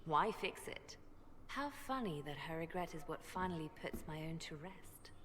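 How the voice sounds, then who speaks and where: A cold, efficient spymaster speaks low and measured, with commanding resolve. The game dialogue rings in long reverb over clear noise. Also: female voice, lively intonation, fairly bright tone. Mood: grim, resolved. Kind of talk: video game dramatic acting.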